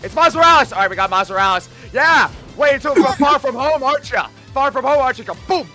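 Man putting on a cocky, high-pitched, bossy cartoon hedgehog. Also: lively intonation, quick pace, sharp tone, faint music behind, full character voice. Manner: brash, bossy, mocking. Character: cocky, foul-mouthed hedgehog Shadow, parody of the brooding antihero. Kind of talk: gaming commentary with role-played banter.

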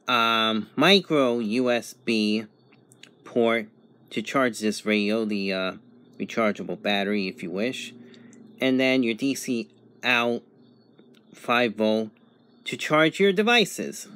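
A man narrates a casual unboxing at an unhurried pace. He moves methodically from point to point in short, halting phrases. His medium-pitched voice is recorded indoors with very little room sound. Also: lively intonation, fairly bright tone, dry recording. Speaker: product reviewer.

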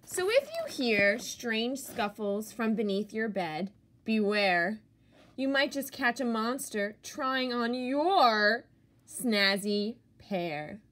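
A woman with a fairly high voice reads a children's picture book aloud at a very slow pace. She is expressive and deliberate, leaning theatrically on key words as a teacher does for young listeners.

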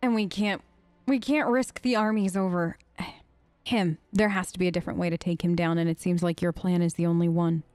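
Female let's-player, smooth mid-range voice on a close dry mic, tentative, conversational role-play. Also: lowish voice, steady tone, fairly quick, a little mellow, no room sound. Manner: informal, tentative, thinking aloud. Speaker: gamer role-playing her character. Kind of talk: casual improvised role-play commentary. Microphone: close microphone, voice-over on gameplay.

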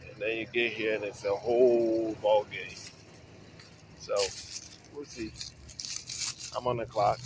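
Man, 40s, mobile welder, low voice, relaxed work-site vlog, outdoor noise behind. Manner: laid-back, unhurried, talks to the camera. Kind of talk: casual vlog narration while working. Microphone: handheld phone camera and wireless earpiece. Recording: outdoor phone recording with background noise.

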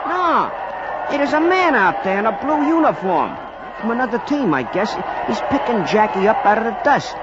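An actor playing a folksy, colloquial baseball storyteller in a 1940s radio drama. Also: male voice, sits high, big pitch swings, moderate pace, over a noisy crowd. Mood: excited. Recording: noisy, muffled 1940s radio recording.

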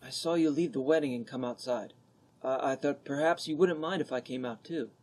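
A man playing an ardent, boyish young gentleman, earnest and pleading, in an old-time radio drama. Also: mid-range voice, a little fast, natural voice.

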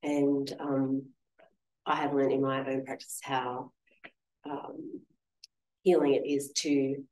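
Quiet-voiced Australian woman in a roomy hall. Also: rumbling low, level tone, slow, long pauses. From Western Australia. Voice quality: quiet soft voice. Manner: gentle, reflective, frequent soft ums. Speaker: author reading and in conversation. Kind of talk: literary conversation and reading intro. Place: lecture room, seated on a small stage. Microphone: handheld mic held at chest. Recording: roomy lecture hall echo.

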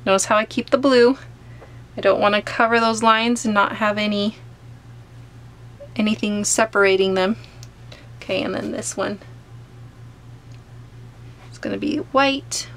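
A woman art teacher calmly talking through a step-by-step painting tutorial as she paints at a table. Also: medium pitch. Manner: gentle, unhurried explaining while painting. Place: home studio, overhead camera on painting table.

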